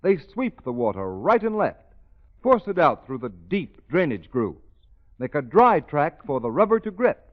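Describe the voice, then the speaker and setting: A man's voice: on the high side, very animated, unhurried. A man announcing a commercial in an emphatic, salesmanlike 1930s radio style. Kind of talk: 1930s radio advertising read. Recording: muffled, dull 1930s radio recording.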